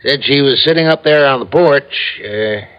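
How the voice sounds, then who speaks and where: An American actor playing a talkative small-town police sergeant in a classic radio crime drama, telling things in a colloquial, emphatic, rambling way. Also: male voice, medium pitch, moderate pace, lightly acted. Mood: folksy explaining. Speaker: supporting character actor. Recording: muffled old radio recording.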